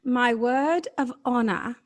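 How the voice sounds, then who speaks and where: A woman's voice: a little high, takes it slowly, no echo, clean studio sound. A woman playing a poised, inquisitive young society lady in a 1930s-style radio drama, polite and formal. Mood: poised, insistent.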